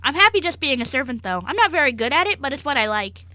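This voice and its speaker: A woman playing an eager, naive young male dog servant in an amateur radio play, with wide-eyed, excitable questions. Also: sits high, fast talker, no echo, full character voice. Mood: gentle, sincere.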